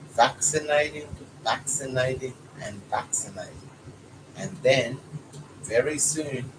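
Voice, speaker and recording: A man reading a children's story aloud as a father does for his child. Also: medium pitch. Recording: noisy home recording, clear background noise.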